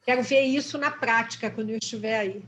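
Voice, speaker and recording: A woman from Brazil with a Brazilian Portuguese accent speaks up in an online talk in a friendly, rambling way. Her voice sits fairly high, with faint noise behind it. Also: brisk, fairly bright tone, rough recording. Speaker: audience member, professor. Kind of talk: thanking the speaker.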